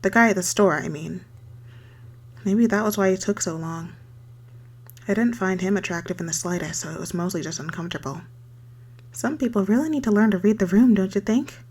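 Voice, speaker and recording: Woman voicing yandere circus girl, obsessive and unstable, soft breathy voice, stammers, intimate asmr roleplay, sweet then desperate. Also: mid-range voice, brisk, long pauses, natural voice. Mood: coy, unsettling. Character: obsessive young circus performer girl, unstable lovesick kidnapper. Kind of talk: scripted ASMR roleplay monologue.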